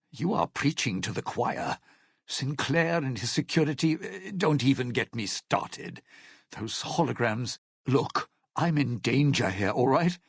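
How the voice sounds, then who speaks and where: An actor playing a scheming, bitter old lounge singer in a video game, with a gravelly, raspy voice and a theatrical, sardonic delivery. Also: male voice, medium pitch, no echo, clean studio sound, exaggerated voice. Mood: wry, irritated. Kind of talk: video game character acting.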